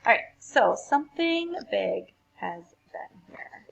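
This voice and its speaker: Animated woman with a creaky, husky voice. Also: mid-range voice, slow, rough recording. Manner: animated, playful reading. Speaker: reader of children's poems. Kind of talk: casual chat and expressive read-aloud of children's poetry.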